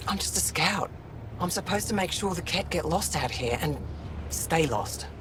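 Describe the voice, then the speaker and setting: Alien scout: lightish high male voice, earnest, rueful, gutsy, with clear ambient noise behind. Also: expressive, moderate pace. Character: plucky, self-critical young male alien resistance scout. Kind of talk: scripted video game acting.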